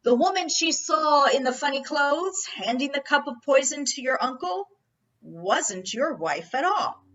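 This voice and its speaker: A woman's voice: high-pitched, expressive, measured pace, a bit bright, highs cut off. A woman acting a brash, folksy police captain in an old-time radio play, slyly probing with mock-casual questions. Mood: needling, insinuating. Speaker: actor in an amateur radio play.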